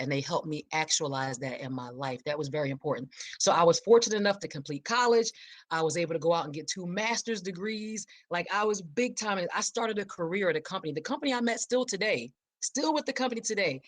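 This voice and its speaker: The animated guest on an evening livestream, an American woman in midlife with a slightly low voice, sharing her story with emphasis and energy. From Philadelphia, United States. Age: middle-aged. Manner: high-energy, emphatic, expressive. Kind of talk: personal storytelling on a live show.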